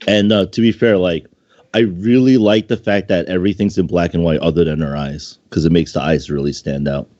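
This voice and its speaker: A laid-back man with a lowish voice chats off the cuff as a contest judge. He has a joking, informal way of talking, on a dry, dull lo-fi recording. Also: steady tone, a little fast, mellow, no echo. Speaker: online contest co-host and secondary judge. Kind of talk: casual unscripted chat.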